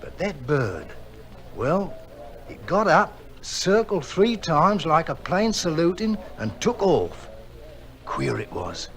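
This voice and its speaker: British tugboat sailor, radio drama. High, lively voice, colloquial working-class yarn-spinner. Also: male voice, slow pace, heavily acted. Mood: animated yarn-spinning. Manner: animated, colloquial storytelling.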